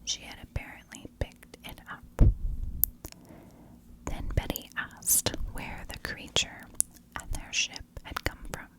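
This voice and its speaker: A woman narrating a story as an ASMR host, whispering in short, deliberate phrases. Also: low-quality recording.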